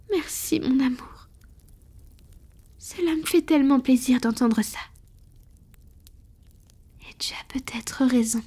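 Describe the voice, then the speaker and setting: A woman playing a tender, conflicted female vampire in an intimate whispered ASMR roleplay, breathy, slow and hesitant. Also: sits high, darkish tone. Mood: tender, loving. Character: tender, conflicted wealthy female vampire confiding in her beloved.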